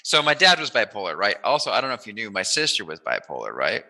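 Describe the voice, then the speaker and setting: A man hosting a casual, joking interview, rambling and teasing and quick to laugh. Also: fairly low pitch, huge pitch swings, pushed and bright. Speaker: interviewer, host.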